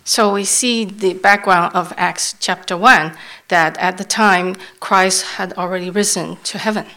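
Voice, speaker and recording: A woman interpreting a sermon into English as it happens, often halting and restarting while she searches for words. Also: on the low side, steady tone, pushed and bright.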